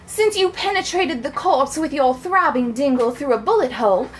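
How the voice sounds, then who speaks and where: A woman's voice: sits high, lively intonation, a little hiss or hum. A woman playing a melodramatic belle wife, genteel yet bawdy, reading love letters for comedy. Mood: doting, forgiving. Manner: genteel, drawn-out, theatrical.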